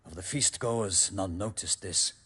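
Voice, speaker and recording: An actor playing an earnest knight envoy in a fantasy video game, speaking in formal, courtly phrases with a raspy voice. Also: male voice, deep voice, unhurried, sharp tone, exaggerated voice. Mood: grave.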